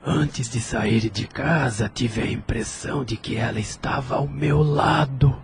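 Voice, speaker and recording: A man's voice: medium pitch, measured pace, slight background noise, natural voice. A Brazilian man playing a pensive, sincere widower in an audio drama, with a wistful manner.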